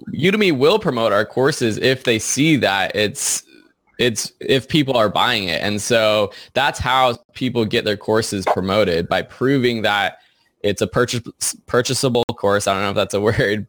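Low-voiced man in a dry, close recording. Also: a bit bright, no echo. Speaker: podcast co-host and online course instructor. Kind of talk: casual panel discussion.

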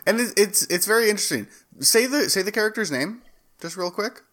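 Lively, bright-voiced joking man. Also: sits high, brisk. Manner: joking, playful. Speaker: podcast co-host. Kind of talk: casual bantering chat.

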